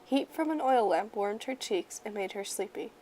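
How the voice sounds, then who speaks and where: A woman reading a children's story aloud in an expressive, measured storyteller's manner. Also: medium pitch, low-quality recording. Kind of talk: children's picture book read-aloud.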